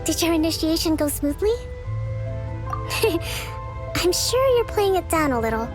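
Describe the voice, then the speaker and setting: Young lady commander in a game, high voice, calm n polite, formal, music behind. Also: unhurried, exaggerated voice. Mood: gracious. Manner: formal, composed, encouraging. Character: young female military leader, courteous, calm and gracious. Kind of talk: video game voice acting.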